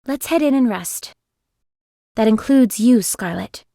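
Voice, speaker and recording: An actress playing a composed, weary young female squad leader in a video game, speaking in a measured, formal way. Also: fairly high pitch, animated, creaky, dark tone, no echo, studio quality. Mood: exasperated. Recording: background music under voice.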